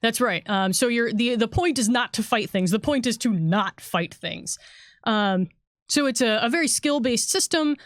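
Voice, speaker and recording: A woman's voice: on the high side, lively intonation, moderate pace, dry recording, studio quality. A woman talking casually as a game designer on a panel, explaining things in an informal, conversational way.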